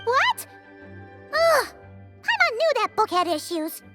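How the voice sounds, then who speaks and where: A woman voicing a tiny, excitable, girlish fairy companion in a video game, with a squeaky childlike voice. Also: slow, soft background music, heavily acted. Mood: startled, exasperated. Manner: refers to herself in third person, exclaims.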